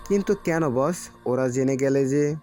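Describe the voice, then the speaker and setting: A man's voice: medium pitch, unhurried, mellow, close to an everyday voice. A man playing an uneasy, deferential gang henchman in an audio story, with music underneath. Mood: worried. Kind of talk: Bengali audio story acting.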